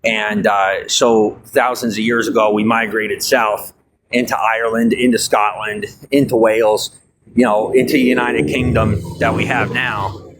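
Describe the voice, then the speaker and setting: A man's voice: on the low side, little pitch movement, a little slow. Rambling American man, faint hiss. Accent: Norwegian-tinged American. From United States. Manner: rambling, many fillers and restarts. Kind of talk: casual monologue.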